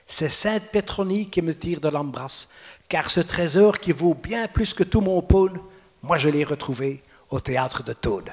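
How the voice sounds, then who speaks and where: A man's voice: on the high side, lively intonation, unhurried, long reverb tail. A man from Brussels with a Brussels accent, a guest author and folklorist who chats playfully and recites his own verse. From Brussels, Belgium. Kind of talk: casual interview and poetry recital.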